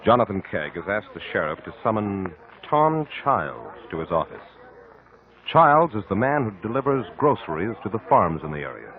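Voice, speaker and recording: A man's voice: lowish voice, very animated, normal speed. A man announcing and narrating for 1950s radio in a formal, dramatic, scripted style with emphatic phrasing. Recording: thin lo-fi 1950 radio broadcast.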